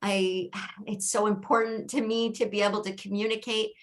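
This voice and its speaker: A woman's voice: medium pitch, little pitch movement, normal speed. A middle-aged woman with a Canadian accent answering as a council candidate at a debate, earnest, with many fillers and restarts.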